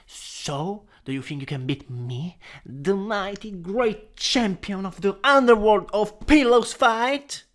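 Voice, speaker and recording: A man's voice: high-pitched, takes it slowly, few pauses, a bit bright. A man with an Italian accent performing an improvised boyfriend roleplay, teasing in an affectionate, playful way. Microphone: USB microphone.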